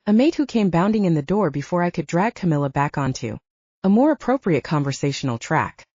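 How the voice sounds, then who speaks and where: A woman's voice: lowish voice, sing-song, brisk, darkish tone, no echo, highs cut off. A woman reading first-person fiction as a scripted audiobook narration.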